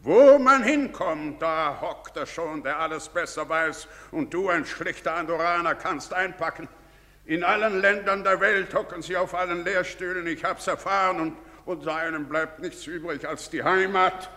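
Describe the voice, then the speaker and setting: An actor playing a pompous, self-important, bigoted middle-aged village doctor, declaiming in a theatrical stage manner. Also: male voice, a little high, normal speed, sharp tone. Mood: lecturing, condescending. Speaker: stage actor in a broadcast drama. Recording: reverberant theatre stage recording.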